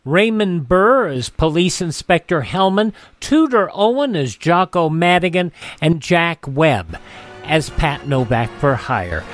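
Faint music plays under a man with a high-pitched voice. He is a radio host, delivering announcements and ad copy in a conversational way. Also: lively intonation, slow, no echo, clean studio sound.

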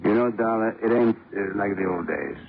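A man plays a menacing mob boss in an old radio crime drama. His voice sits very low, and he talks in halting, rough grammar with smug, deliberate pauses. The recording is muffled and noisy. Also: natural voice. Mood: smug, musing. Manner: halting, ungrammatical tough-guy talk with deliberate pauses. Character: menacing deported male gangster boss, slow and smug. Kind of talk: 1950s radio drama acting.

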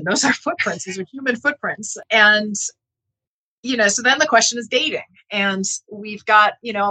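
A woman gives an expert's view in a conversational academic interview. Her voice sits at a middle pitch with a bright, forward edge. She explains things earnestly, checking in with the listener as she goes. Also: slightly rough, low-quality recording. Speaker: interview guest, geneticist and author.

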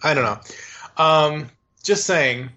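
A man's voice: on the high side, expressive, slow, pushed and bright, highs cut off. A man hosting a podcast in casual chat, talking loosely and laughing often as he lays out plans. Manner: chatty, laughs often, rambles through plans. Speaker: podcast host.

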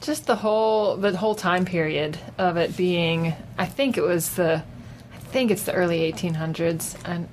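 Low-voiced woman, hesitant casual talker. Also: darkish tone. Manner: hesitant, halting delivery. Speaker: podcast guest giving a film review. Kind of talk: casual unscripted conversation. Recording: noticeable background noise.

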